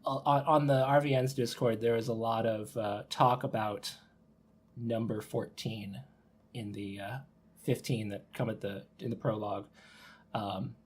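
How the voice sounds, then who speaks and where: A man's voice: on the low side, steady tone, measured pace, husky. A man chatting informally as a podcast host, reacting readily to the others. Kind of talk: casual podcast chat.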